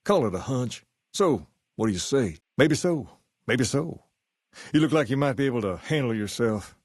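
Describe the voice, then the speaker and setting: A suave gang boss from a post-apocalyptic video game, played by a male actor. He speaks with a folksy Southern American accent at an ordinary male pitch. His manner is easygoing and sure of himself, like a man used to being king of his turf, and the sound is dry, free of room echo. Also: expressive, moderate pace, lightly acted. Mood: measured, confiding. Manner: folksy, easygoing, self-assured. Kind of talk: video game character dialogue.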